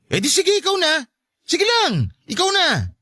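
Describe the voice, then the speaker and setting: A man playing a touchy but soft-hearted stepfather in a radio drama, with an emotional delivery. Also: very high voice, huge pitch swings, unhurried, no echo, clean studio sound, exaggerated voice. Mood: exasperated, defensive. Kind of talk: Tagalog radio drama acting.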